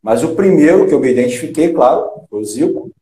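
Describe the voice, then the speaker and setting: Carioca man, mellow voice, halting speech, echoey room, video call. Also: fairly high pitch, lively intonation, a little hiss or hum. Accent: Carioca Brazilian Portuguese. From Rio de Janeiro, Brazil. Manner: halting, many restarts and fillers. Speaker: interview guest, former footballer. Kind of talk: casual interview chat.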